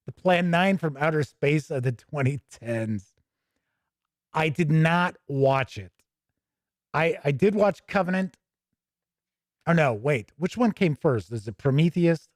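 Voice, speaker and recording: A man's voice: a little high, darkish tone, dry recording, studio quality. A man hosting a tabletop gaming show, giving casual, chatty, rambling commentary off the cuff. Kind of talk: casual unscripted commentary while leafing through a book.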